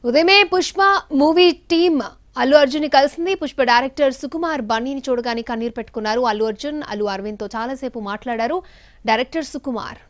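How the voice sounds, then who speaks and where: A woman's voice: high voice, fast talker. A woman narrating a news report in a scripted voice-over style.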